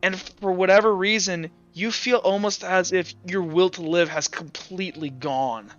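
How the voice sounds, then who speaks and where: A man running a tabletop roleplaying game as game master, improvising dramatic narration haltingly and swearing casually. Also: sits high, fairly even intonation, fairly bright tone, lo-fi sound, faint music behind.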